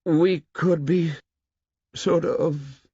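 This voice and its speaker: A man voicing a shy young boy who speaks hesitantly and haltingly. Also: a little high, fairly even intonation, dark tone, breathy edge, dry recording, pitched above the performer's own voice, full character voice. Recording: dull, dry recording.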